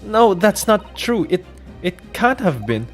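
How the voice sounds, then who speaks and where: A man's voice: sits high, sing-song, slow, faint music behind, pitched above the performer's own voice, full character voice. A man voicing a flustered, indignant married woman in a courtroom video game, stammering and protesting.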